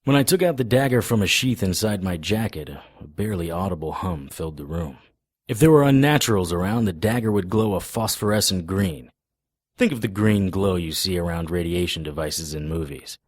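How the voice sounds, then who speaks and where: Man playing hardboiled private investigator, very low voice, dry close sound, wry, guarded, gruff. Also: expressive, brisk, no room sound. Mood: wry, reflective. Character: tough, wry male occult investigator, narrating his own cases. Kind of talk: hardboiled radio drama dialogue and narration.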